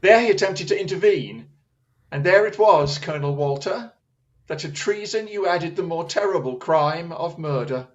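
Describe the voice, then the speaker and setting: Guy playing a shrewd victorian detective, high pitched, bossy and precise, radio play, bit muffled. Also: expressive, moderate pace, close to an everyday voice. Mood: accusing. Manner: accusatory, incisive, formal. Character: shrewd, commanding Victorian English detective, coolly relentless.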